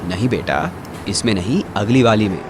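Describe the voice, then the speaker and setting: Man acting low-voiced cartoon everyman, lively delivery. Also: moderate pace, background noise, lightly acted. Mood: explaining. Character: ordinary grown man in a spooky cartoon story.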